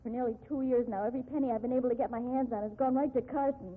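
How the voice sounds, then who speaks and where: Desperate, wronged female bookkeeper played by an actress, radio melodrama: husky, slightly high, thin vintage sound. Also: fairly even intonation, racing, natural voice. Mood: despairing. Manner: earnest, pleading, emphatic. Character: accused female office bookkeeper, desperate blackmail victim. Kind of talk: 1950s radio drama acting. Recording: tinny band-limited 1950s radio recording.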